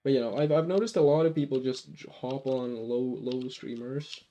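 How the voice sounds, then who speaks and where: A man's voice: mid-range voice, moderate pace, dark tone, highs cut off, soft background music. A man from Finland with a light Finnish accent, chatting casually while playing a game on a live stream, rambling with many restarts. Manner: rambling, lots of restarts and fillers.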